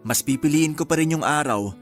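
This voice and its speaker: A man's voice: on the high side, brisk, soft background music, close to an everyday voice. A man playing an easygoing, agreeable young husband in a Filipino radio drama, with a Filipino accent. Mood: relaxed.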